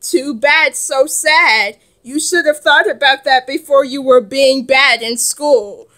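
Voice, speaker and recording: A woman's voice: high-pitched, slow, bright, forceful tone, heavily acted. A woman playing a firm mother who lectures her children. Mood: stern scolding. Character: stern, scolding mother.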